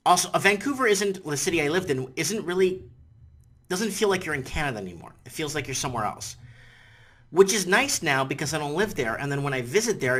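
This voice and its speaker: A man's voice: fairly high pitch, sharp tone. A middle-aged man whose first language is Portuguese, rambling through opinionated casual commentary in a vlog and often repeating himself. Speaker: vlogger.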